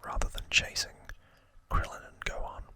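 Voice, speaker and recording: An ASMR host whispering a casual recap in a halting way, with asides. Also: bright, forceful tone.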